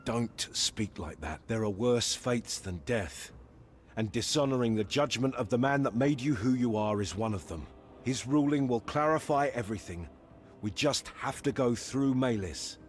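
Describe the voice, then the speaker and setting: An actor playing a battle-hardened, loyal soldier in a fantasy game, solemn and blunt with formal phrasing. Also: male voice, mid-range voice, animated, moderate pace, a little hiss or hum, close to an everyday voice. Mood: stern. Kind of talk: dramatic fantasy game acting.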